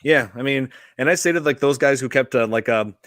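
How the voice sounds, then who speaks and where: A man's voice: mid-range voice, a little fast, fairly bright tone, dry recording, clean studio sound. A man in his thirties hosting a casual podcast, rambling with lots of fillers. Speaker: podcast host.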